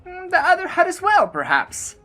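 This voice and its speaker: Woman doing a high-pitched, cocky, mischievous gnome. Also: huge pitch swings, normal speed, pushed and bright, faint music behind, exaggerated voice. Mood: gleeful, taunting. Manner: taunting, cocky. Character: gleeful mischievous male gnome arsonist, cackling and taunting. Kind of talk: fantasy audio drama character acting.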